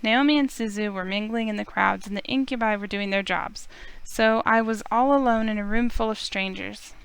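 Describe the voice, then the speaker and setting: Clear-voiced young heiress narrating, acted by a woman. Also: mid-range voice, fairly even intonation, moderate pace, natural voice, low-quality recording. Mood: uneasy, reflective. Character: young heiress heroine, earnest, anxious, narrating her story. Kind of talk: scripted first-person visual novel narration.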